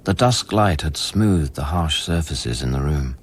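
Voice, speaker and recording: Very deep-voiced, brooding, haunted scientist. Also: male voice, lively intonation, slightly rough, heavily acted. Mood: calm, resigned. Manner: brooding, measured, literary. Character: haunted, weary, introspective male scientist narrating his memories. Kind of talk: radio play first-person narration.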